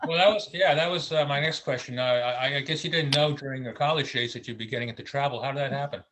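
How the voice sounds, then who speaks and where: A man's voice: medium pitch, fairly even intonation, a bit bright, slight background noise. Friendly man hosting an interview. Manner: friendly, halting with fillers. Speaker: interview host.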